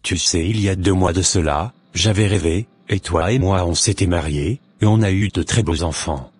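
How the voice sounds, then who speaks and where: A man playing a sincere, devout young suitor in an animated religious story, speaking in earnest, formal phrases. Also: sits low, normal speed, husky. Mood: friendly, earnest.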